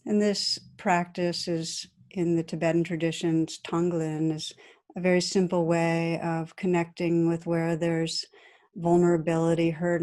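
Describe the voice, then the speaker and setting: A woman meditation teacher guiding a practice in a gentle, soothing, unhurried manner with long pauses between phrases. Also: on the low side. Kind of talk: guided meditation.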